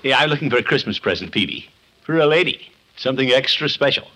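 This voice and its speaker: Blustery pompous man, swooping pitch. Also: animated, quick pace, sharp tone. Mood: boastful, eager. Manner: blustery, self-satisfied, chuckling. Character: pompous, boastful middle-aged suitor, eager and scheming. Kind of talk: 1950s radio comedy acting. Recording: noisy vintage 1950s radio recording.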